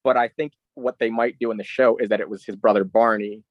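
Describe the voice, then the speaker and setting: A man's voice: lowish voice, steady tone, fairly quick, no echo. A man co-hosting a podcast in casual chat, on slightly muffled audio. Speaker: podcast co-host.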